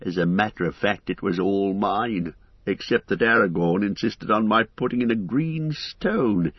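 A man voicing a chatty, wry old male hobbit poet, talking briskly and with light indignation. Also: fairly low pitch, husky, dry recording, lo-fi sound.

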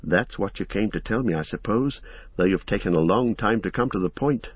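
A man voicing a wary, impatient young hobbit in an audiobook, low and terse. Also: lively intonation, brisk, slightly rough, no room sound. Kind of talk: audiobook character voice. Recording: slightly muffled audio.

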